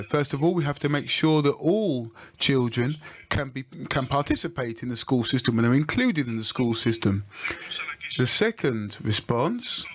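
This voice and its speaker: A man moderating a panel, explaining points and handing over questions in a measured, polite way. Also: on the high side, fairly bright tone, slight background noise.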